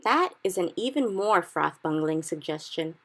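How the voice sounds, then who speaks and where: A woman reading aloud as a big friendly giant, a gentle male creature who muddles his words and drawls along in a slow, lively sing-song. The voice sits a touch low and has a gravelly edge. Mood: stubborn. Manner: slow, odd jumbled grammar. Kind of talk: storybook reading.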